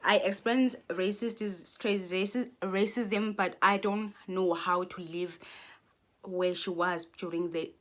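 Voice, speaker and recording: A woman's voice: mid-range voice, fairly even intonation, takes it slowly. A Xhosa-speaking South African woman in her twenties, talking to camera in a rambling, earnest monologue full of restarts. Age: 20s. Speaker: vlogger.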